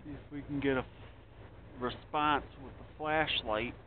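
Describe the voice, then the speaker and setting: A man's voice: mid-range voice, steady tone, measured pace, a little hiss or hum. A man vlogging outdoors by a river at night, chatting in a friendly way to his viewers. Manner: friendly, chatty sign-offs. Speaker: ship-watching vlogger. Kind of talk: casual vlog narration. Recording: tinny phone-like camera audio.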